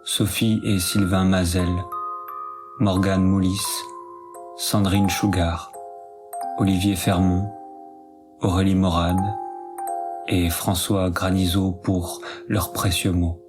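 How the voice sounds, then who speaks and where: Slow, low-voiced man reading scripted narration. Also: over music. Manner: measured, even delivery. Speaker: credits narrator.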